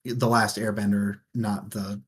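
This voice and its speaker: A man's voice: fairly low pitch, flat delivery, measured pace, slight background noise. A man co-hosting a book discussion, talking in a casual, conversational way. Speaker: book discussion show host.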